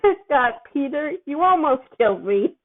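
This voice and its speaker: A woman's voice: high voice, lively intonation, moderate pace, voice pushed up. A woman voicing a worried, fatherly man who coaxes and soothes a child with weary exasperation. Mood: panicked, relieved. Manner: gentle coaxing, exasperated. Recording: thin phone-like sound.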